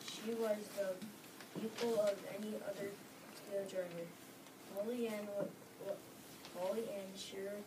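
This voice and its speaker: A woman narrating a story from a script, reading haltingly with stumbles and repeats. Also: mid-range voice. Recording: distant mic with clear background noise.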